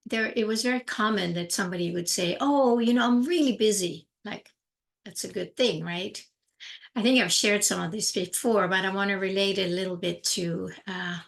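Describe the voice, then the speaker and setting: A middle-aged woman speaks slowly and unhurriedly, her voice carrying a gravelly fry. She talks calmly as a spiritual teacher, with a middle-range pitch, often pausing to find the right words. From Denmark. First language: Danish. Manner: calm, unhurried, searching for words. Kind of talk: reflective spiritual talk.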